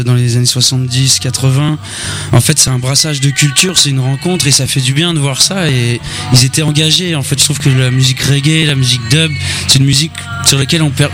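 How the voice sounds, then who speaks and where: Earnest, hesitant French musician. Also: male voice, medium pitch. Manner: hesitant, earnest, many repeated words. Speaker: musician guest. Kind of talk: radio interview.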